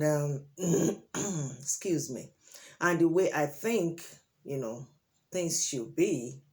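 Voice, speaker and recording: A Nigerian woman whose first language is Yoruba, sharing her opinions in a monologue to camera. She talks deliberately, with many fillers and pauses, and turns emphatic on key points. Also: low voice, darkish tone. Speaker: vlogger.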